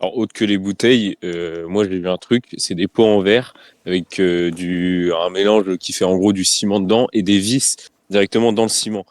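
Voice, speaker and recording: Man, riot cop in a debate, low and mellow, halting and frank, dry close sound. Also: fairly even intonation, dry recording. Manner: halting, frank, repeats phrases while searching for words. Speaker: riot police officer.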